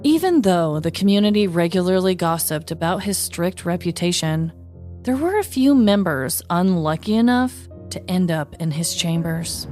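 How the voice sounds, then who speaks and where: Host reading a comedic true-crime script, low-pitched woman, dry wit, soft music bed. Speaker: podcast host and storyteller.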